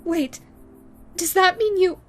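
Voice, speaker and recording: A woman's voice: very high-pitched, soft background music. A woman voicing a stammering scarred yokai woman. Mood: startled. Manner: halting, stammering, repeats words. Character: scarred grieving female yokai ghost, self-loathing. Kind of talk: audio roleplay to the listener.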